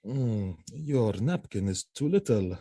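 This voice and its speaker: An actor playing a proud military husband troubled by jealousy, speaking Shakespearean verse emphatically and haltingly in an audio drama. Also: male voice, medium pitch, big pitch swings, warm tone, husky, no echo, studio quality, full character voice. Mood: troubled, weary.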